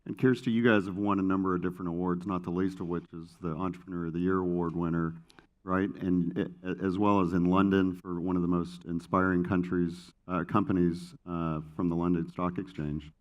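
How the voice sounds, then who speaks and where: An American man moderates a panel on a conference stage, his voice very low. He talks loosely, restarting sentences and filling gaps with "uh" and "you know". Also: level tone, brisk, muffled recording. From United States. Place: conference stage before an audience.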